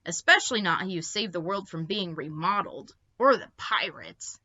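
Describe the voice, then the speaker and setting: A skeptical young kid from a children's story, voiced by a woman, stressing every doubt. The voice is bright and emphatic, on a slightly dull recording. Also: fairly low pitch, lively intonation, moderate pace, no echo.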